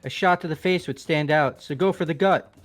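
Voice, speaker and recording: A blunt, shameless young goofball in a visual novel game, voiced by a man. He talks in a fairly high, blustering voice over background music. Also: brisk, natural voice.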